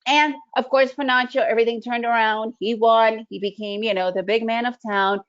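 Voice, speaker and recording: Woman, middle-aged, native Russian speaker, fairly high voice, webinar teacher, conversational, slightly muffled audio. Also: fairly even intonation, moderate pace. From Soviet Union, raised in the United States. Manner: conversational, often asks "right?". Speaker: day trading educator. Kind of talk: webinar presentation. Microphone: video call.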